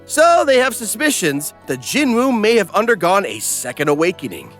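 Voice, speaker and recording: A man narrates a comedic recap from a script. His delivery is wisecracking and animated, with a bright, forceful tone and lively swings in pitch over faint music. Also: normal speed. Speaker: narrator, host.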